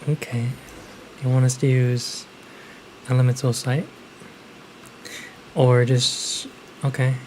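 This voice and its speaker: A man's voice: medium pitch, flat delivery, takes it slowly, pauses often, a little mellow, slight background noise, poor audio. A man giving casual, rambling, hesitant commentary as he plays through a game, with slangy phrasing. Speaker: game streamer.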